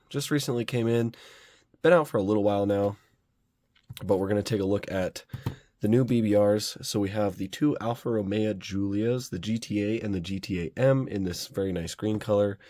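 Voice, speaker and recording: A young man with a slightly raspy voice from a cold, casually reviewing diecast model cars in a relaxed, rambling way. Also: lowish voice, brisk, no room sound. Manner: relaxed, chatty, rambling with fillers.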